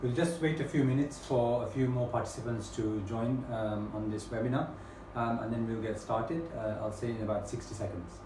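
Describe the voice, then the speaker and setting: A man with a British accent presents a webinar in a measured, unhurried way, often pausing on "um" and "uh". His voice sits at an ordinary pitch. It sounds echoey, picked up from a distance with faint background noise. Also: fairly even intonation. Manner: measured, with frequent ums and uhs. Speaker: webinar host. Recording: echoey room, mic at a distance.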